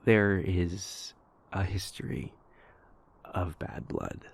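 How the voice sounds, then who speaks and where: A man playing an anxious, self-doubting vampire prince who confides in his lover in an intimate audio roleplay, stammering and repeating himself in a husky, gravelly voice. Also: sits low, steady tone, a little hiss or hum. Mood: worried, wry. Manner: halting, stammering, repeats words, hesitant.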